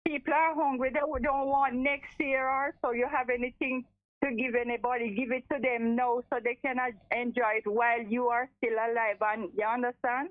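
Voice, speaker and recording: Radio caller, female, late seventies, Jamaican. Forceful and repetitive, fairly high-pitched, over a narrow phone line. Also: little pitch movement, moderate pace, no echo. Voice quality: strong voice. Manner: emphatic, repeats phrases for force. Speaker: radio call-in listener. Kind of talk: impassioned live phone-in talk. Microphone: telephone. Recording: thin, narrow telephone line.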